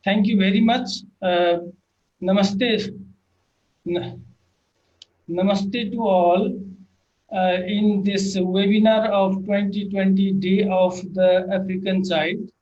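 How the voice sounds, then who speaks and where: A male panelist starting a webinar presentation over a video call, speaking slowly and measured. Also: sits high, fairly even intonation. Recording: muffled call audio with faint noise.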